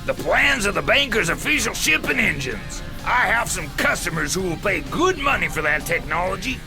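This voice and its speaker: High-pitched scheming robot police chief. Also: male voice, very animated, normal speed, vocal fry, exaggerated voice. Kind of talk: video game cutscene acting. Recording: loud music behind the voice.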